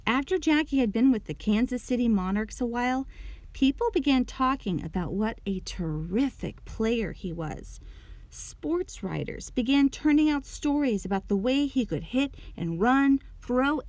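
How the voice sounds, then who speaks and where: A woman's voice: a little high, expressive, measured pace, a little mellow, no room sound. Woman reading a children's storybook aloud. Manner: clear storytelling with questions to young listeners. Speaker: storybook reader. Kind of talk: expressive children's read-aloud narration.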